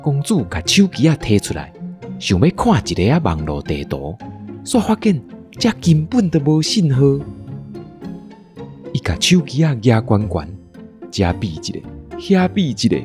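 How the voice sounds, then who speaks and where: A man with a Taiwanese accent narrating a comic radio drama in a playful, expressive storytelling style. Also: mid-range voice, measured pace, pauses often, faint music behind.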